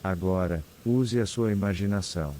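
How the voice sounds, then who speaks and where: A man with a Brazilian accent guides a scripted meditation in a calm, soothing, unhurried manner with long pauses. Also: low voice, slight background noise. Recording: clear background ambience of ocean waves. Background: sea waves ambience.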